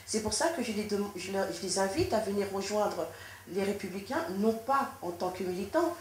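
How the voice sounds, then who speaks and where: A woman from France answering interview questions as a politician, in a measured, formal way with frequent restarts. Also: medium pitch, expressive, darkish tone. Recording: echoey room with faint noise.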